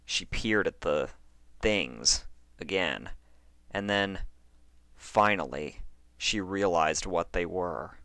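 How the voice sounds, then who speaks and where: A man reading a horror story as a dramatic narrator, phrasing deliberately with pauses for effect. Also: sits low, lively intonation, bright, forceful tone, dry recording. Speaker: fan fiction narrator.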